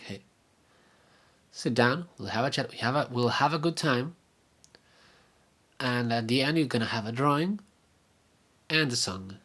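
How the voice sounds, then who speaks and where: A young man from Argentina, a native Spanish speaker with an Argentine accent, giving a casual video update in a rambling, halting way with many fillers and restarts. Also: mid-range voice, a bit bright. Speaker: vlogger and podcaster.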